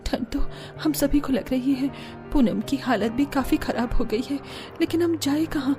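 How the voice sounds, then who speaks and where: A woman voicing a worried young daughter-in-law in a cartoon drama, earnest and plaintive, with a soft voice. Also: high-pitched, normal speed, over music, close to an everyday voice.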